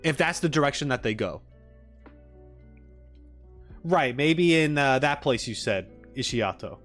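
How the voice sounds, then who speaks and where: A man's voice: mid-range voice, lively intonation, measured pace, fairly bright tone, faint music behind. A man chatting casually on a livestream as the streamer, in an informal, rambling way with joking asides. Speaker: live streamer reacting and chatting with viewers.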